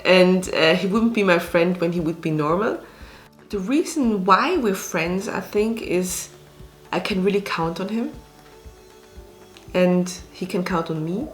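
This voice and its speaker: Slow-talking woman from Switzerland. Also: lowish voice, faint music behind. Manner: speaks slowly, with many fillers and restarts. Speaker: restaurant owner and actress. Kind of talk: casual interview talk.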